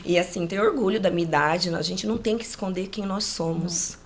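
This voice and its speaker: A woman's voice: fairly low pitch, a little fast. A woman in her late forties from rural Brazil, with a Brazilian accent, telling personal stories casually as an interview guest, often adding agreement-seeking tags. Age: late 40s.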